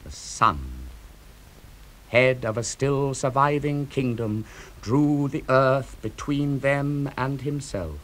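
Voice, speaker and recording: Slow grave older man reading epic verse. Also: medium pitch, lively intonation, a bit bright, rough recording. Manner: slow, grave and declamatory, sweeping up for climaxes. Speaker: narrator reading poetry. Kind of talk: dramatic poetry reading.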